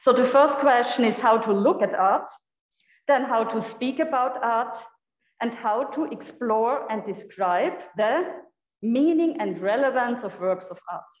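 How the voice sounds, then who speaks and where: A woman with a German accent gives an unscripted lecture in her second language. Her voice sits slightly high, and she halts often, reaching for words with little fillers. The room around her is echoey. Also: lo-fi sound. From Europe. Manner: halting, searching for words, frequent fillers. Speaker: guest lecturer. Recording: echoey hall.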